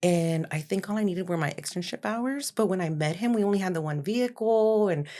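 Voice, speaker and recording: A woman's voice: lowish voice, brisk, dark tone. A woman in her forties from Texas, a guest in a podcast interview, telling her story in a chatty way with frequent laughter. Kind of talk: casual interview chat.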